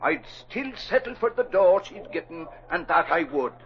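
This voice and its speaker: High-voiced, folksy Irish newsman. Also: expressive, moderate pace, crowd noise. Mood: stubborn, puzzled. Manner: folksy, slangy. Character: excitable, simple-minded Irish newsman pal. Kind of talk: 1940s radio drama acting. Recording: muffled vintage 1940s radio recording.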